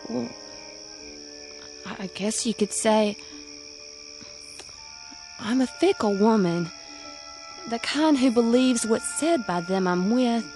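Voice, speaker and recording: Radio drama: young rural Southern woman, earnest sweetheart, somewhat high voice, music underneath. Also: lively intonation, takes it slowly, long pauses, darkish tone. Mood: hesitant, tender. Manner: pleading, plain-spoken.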